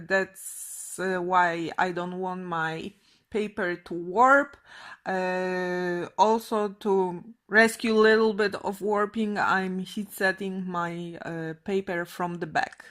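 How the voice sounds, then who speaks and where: A woman's voice: medium pitch, fairly bright tone. A woman in her thirties from Poland with a Polish accent, talking through a card-making project in her home craft room, with many hesitant fillers. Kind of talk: casual crafting tutorial. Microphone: fixed camera, seated facing it.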